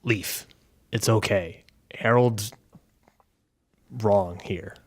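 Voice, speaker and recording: A man's voice: on the low side, drawn-out words, husky. A man playing a tabletop game, talking casually and slipping into his character's lines in his everyday voice. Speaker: player in a tabletop game podcast.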